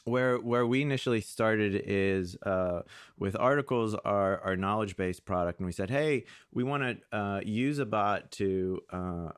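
A man being interviewed, explaining things conversationally in a halting way and often voicing imagined dialogue. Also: on the low side, clean tone, no room sound, clean studio sound. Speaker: interview guest, product manager.

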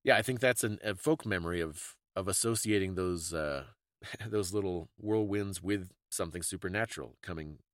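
Explanatory man with a lowish, close, dry voice. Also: normal speed, no echo. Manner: explanatory, thoughtful. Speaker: podcast co-host. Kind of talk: casual discussion of folklore and fiction.

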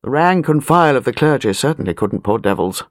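A woman's voice: a bass voice, lively intonation, fairly quick, no room sound, studio quality. A British woman reading a novel as an audiobook narrator, precise and wry. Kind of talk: scripted literary narration.

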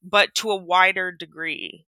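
A woman's voice: lowish voice, fairly even intonation, a little slow, bright, forceful tone, smooth, no room sound, poor audio. A woman who co-runs a coaching site, speaking on an interview in an earnest, self-deprecating way. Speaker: coaching site co-founder guest. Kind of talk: casual interview chat.

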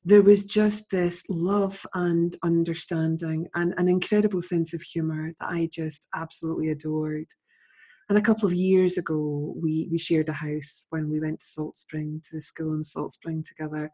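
A woman's voice: low-pitched, little pitch movement, moderate pace, warm tone, slightly rough. A woman hosting a podcast, introducing her guest in a casual, heartfelt way. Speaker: podcast host. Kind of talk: casual interview conversation.

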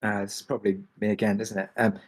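A man's voice: on the low side, little pitch movement, fast. A man answering casually and reflectively as a drama creator on a panel, hesitant and thinking aloud.

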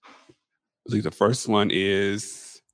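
A man hosting a casual chat show, lively and animated in his talk. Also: lowish voice, slow, no echo. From Pacific Northwest. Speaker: host.

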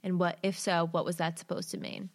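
A woman's voice: lowish voice, little pitch movement. Woman host reading a strange story. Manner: candid, uses swearing and slang; reads haltingly in short phrases. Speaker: host reading listener stories. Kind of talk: reading a submitted story aloud, then casual commentary.

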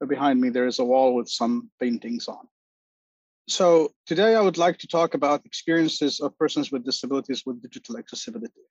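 A man in his forties from Lebanon, giving a measured, formal talk as a panel speaker. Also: medium pitch, animated, darkish tone, breathy edge, no room sound, dull audio. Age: 40s. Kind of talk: prepared talk on a video call.

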